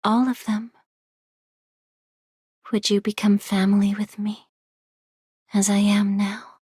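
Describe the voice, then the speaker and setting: A somber, haunted survivor in a fantasy game. Her husky voice stays flat and slow, subdued and halting. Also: medium pitch, no echo, clean studio sound. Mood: mournful. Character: bereaved, somber woman longing for family, haunted. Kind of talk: scripted game voice acting.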